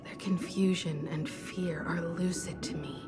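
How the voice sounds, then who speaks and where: An actress playing a thoughtful, compassionate young adventurer in a video game, reflective, earnest and gently resolute. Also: female voice, on the low side, fairly even intonation, unhurried, lightly acted. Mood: wistful, earnest. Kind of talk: dramatic game voice acting. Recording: studio voice under loud music. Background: loud game music.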